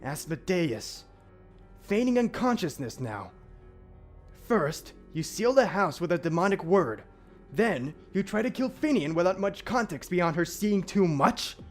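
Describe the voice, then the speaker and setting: A man's voice: sits high, sing-song, unhurried, soft background music, full character voice. Stiff, solemn demon guardian played by a man. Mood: stern threat. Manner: stiff, archaic, formal diction. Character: stern, formal male demon guardian, solemn and protective. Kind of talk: audio drama acting.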